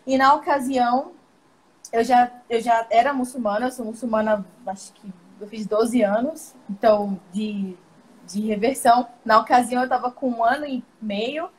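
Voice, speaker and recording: Lady telling a long story on a live call, laughy and chatty, kinda high voice. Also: steady tone, moderate pace, rough recording. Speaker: interview guest. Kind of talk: casual storytelling on a video call.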